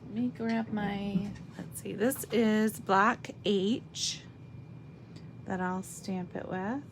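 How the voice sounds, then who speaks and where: A woman with a slightly raspy voice giving a chatty live craft demonstration, thinking aloud as she stamps and colors. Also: medium pitch, steady tone, background noise. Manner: slow, chatty, thinks aloud while working.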